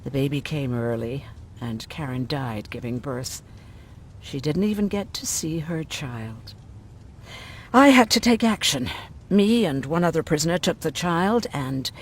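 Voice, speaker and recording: A blunt, world-weary woman medic in a game drama. Her mid-pitched voice swings widely as she talks, sardonic and gruff, over a faint rumble. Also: heavily acted. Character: gruff, sardonic woman medic who survived a prison camp. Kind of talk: video game dramatic acting.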